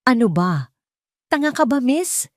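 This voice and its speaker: A woman's voice: a little high, big pitch swings, measured pace, dry recording, clean studio sound, voice pushed up. A woman voicing a big, drunk, hot-tempered male bully in an audio drama, confrontational in manner. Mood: angry. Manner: shouting, confrontational.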